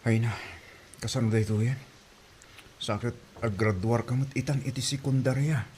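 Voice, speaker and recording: A man's voice: medium pitch, fairly even intonation, normal speed, darkish tone, a little hiss or hum, close to an everyday voice. A man with an Ilocano accent playing a concerned, protective older brother in an audio drama.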